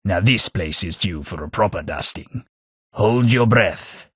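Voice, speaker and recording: A man voicing a posh ghoul gentleman companion in a video game, dry, sardonic and fussy. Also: low voice, lively intonation, a little slow, slightly rough, no echo, full character voice. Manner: dry, sardonic, prim understatement.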